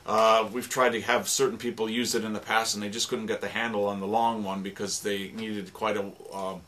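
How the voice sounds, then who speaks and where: A man giving an informal, halting and rambling demonstration of sound-effect instruments, as a radio drama producer. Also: fairly low pitch, little pitch movement, pushed and bright. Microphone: stereo microphone. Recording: noisy recording with stereo microphone.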